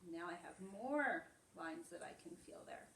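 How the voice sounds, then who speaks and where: A woman's voice: lowish voice, animated, measured pace, fairly bright tone, a little hiss or hum. A woman preschool teacher explaining simply and reading a story aloud for young children. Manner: simple, explanatory, demonstrative phrasing for small children. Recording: echoey room, distant microphone.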